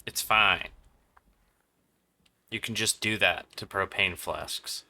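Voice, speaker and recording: A man's voice: on the low side, takes it slowly, creaky, sharp tone. A man doing casual live gameplay commentary as a streamer, muttering to himself and his chat in slangy, sweary language. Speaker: game livestreamer.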